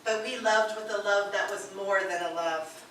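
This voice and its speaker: A woman's voice: medium pitch, steady tone, normal speed, a bit bright, lightly acted. A woman playing a devoted, dreamy young wife, earnestly reciting romantic verse in a live dramatic reading. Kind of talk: theatrical dramatic reading of love poems. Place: live performance in a radio station's performance room. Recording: very echoey live room, distant mic, faint noise.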